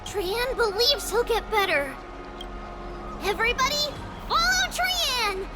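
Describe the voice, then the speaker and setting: Squeaky, animated little girl. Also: takes it slowly, loud music behind the voice, exaggerated voice. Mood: bouncy, playful. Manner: childlike, eager, singsong. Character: cheerful little girl who speaks of herself in third person. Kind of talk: voiced game dialogue.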